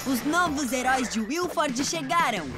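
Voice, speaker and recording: A woman's voice: sits high, lively intonation, moderate pace, loud music behind the voice. A woman voicing a young boy motorbike who eagerly leads his friends, with a Brazilian accent. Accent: Brazilian Portuguese. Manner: upbeat, takes charge.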